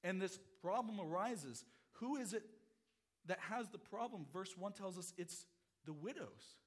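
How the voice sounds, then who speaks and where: A middle-aged pastor preaching a sermon, his voice hoarse from a cold, earnest and expository, often repeating phrases. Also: high-pitched, expressive, a little slow.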